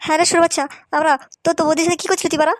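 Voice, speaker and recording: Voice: very high-pitched, flat delivery, racing, no room sound, clean studio sound. A performer voicing brash, foul-mouthed young village men in a comic cartoon, in crude slangy banter over music. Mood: angry, confronting. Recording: music behind the voice.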